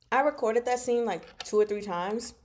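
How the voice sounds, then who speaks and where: A woman hosting a casual book discussion, speaking from notes in an animated, giggly, self-interrupting way. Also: a little high, background noise. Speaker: host of a book discussion show. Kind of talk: casual fan discussion, reading from notes and comments.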